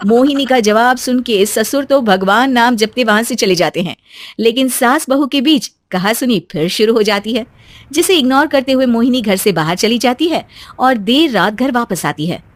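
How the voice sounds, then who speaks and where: A woman's voice: fairly high pitch, lively intonation, normal speed, no echo. A woman giving scripted narration for a cartoon story.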